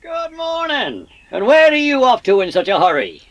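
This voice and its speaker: A woman's voice: sits high, big pitch swings, moderate pace, voice pushed up. A woman voicing a friendly male village railwayman, kindly and inquisitive, in a dramatised storybook. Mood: genial.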